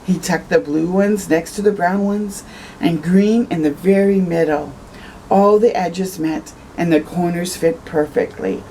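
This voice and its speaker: A woman reading a picture book aloud to children as a storytime host, expressive, with conversational asides. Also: on the low side, unhurried, slight background noise, rough recording. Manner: conversational asides, tags questions with "eh".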